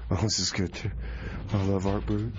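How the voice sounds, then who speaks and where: A man's voice: sits low, level tone, normal speed. A man hosting a community radio music show with casual, rambling DJ chat and frequent exclamations. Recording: muffled radio recording with noise.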